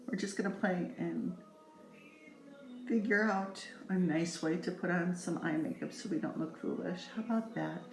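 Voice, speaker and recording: A woman's voice: on the low side, moderate pace, darkish tone. A middle-aged woman giving a casual, chatty makeup tutorial, playful and rambling, speaking straight to her viewers. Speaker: makeup vlogger. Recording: echoey room with faint music.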